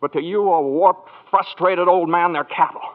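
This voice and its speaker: A man playing a stubborn young small-town idealist, highish voice, stammering folksy protests, vintage radio drama, thin band-limited sound. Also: fairly even intonation, normal speed, narrow, tinny audio, close to an everyday voice. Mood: indignant. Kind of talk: 1940s radio drama acting.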